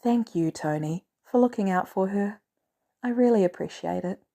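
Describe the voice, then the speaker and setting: A woman voicing a poised, caring female executive with composed, gently teasing delivery in a story reading. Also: medium pitch, normal speed, vocal fry. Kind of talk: storybook character dialogue.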